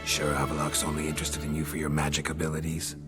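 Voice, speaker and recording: An actor playing a gruff, dry-witted middle-aged male monster hunter in a video game, speaking in terse deadpan sentences. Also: extremely low pitch, fast talker, over loud music. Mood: wry, sceptical. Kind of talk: video game dialogue acting.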